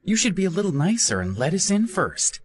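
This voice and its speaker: A spoiled young woman streamer whines and pleads, her voice pitched very high and swooping as a male narrator puts her on. She keeps pushing hard to get her way. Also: big pitch swings, a little fast, breathy edge, heavily acted. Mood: indignant pleading. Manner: high whiny demanding pleading.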